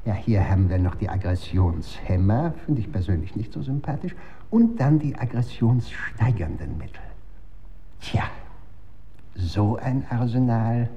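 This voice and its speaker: A morbid, cynical man of science with a fairly low voice, delivering radio-drama lectures in with cool relish. The recording is slightly muffled, with faint noise underneath. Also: animated, a little slow, warm tone. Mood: smug cynicism. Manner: lecturing, savours technical terms, cynical. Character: man coolly relishing chemical weapons, pedantic morbid expert. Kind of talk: German radio drama acting. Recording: dull, noisy old radio recording.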